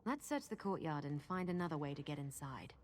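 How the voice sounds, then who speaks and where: An actress playing a confident, practical adventuring guide in a fantasy video game, explaining things in a matter-of-fact way. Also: female voice, low voice, expressive, fairly quick, a little hiss or hum. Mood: determined. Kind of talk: scripted video game dialogue.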